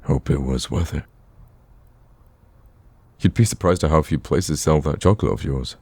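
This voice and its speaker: Man acting a very deep, husky smitten villain. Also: moderate pace, a little mellow, exaggerated voice. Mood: earnest, reassuring. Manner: casual, conversational, stammers when flustered. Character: smitten male villain, eager, flustered boyfriend on a date. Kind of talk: romantic ASMR roleplay.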